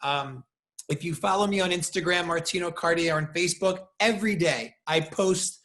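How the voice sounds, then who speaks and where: A middle-aged American man, a salon owner, talking in an animated, emphatic way as an interview guest over a video call. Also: high voice, normal speed. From United States. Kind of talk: casual interview chat.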